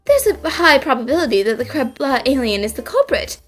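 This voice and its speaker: A woman voicing a clever, confident young female detective in an animated fan dub, composed and curious. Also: sits high, fairly quick, soft background music. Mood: confident reasoning.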